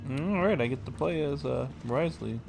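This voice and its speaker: A man's voice: a little high, normal speed, vocal fry. A man giving casual, off-the-cuff commentary as he livestreams a video game. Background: game music.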